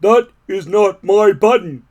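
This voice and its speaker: A man voicing a fussy, easily upset male toad in a storybook read-aloud, talking loud and complaining. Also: high voice, slow, pitched above the performer's own voice.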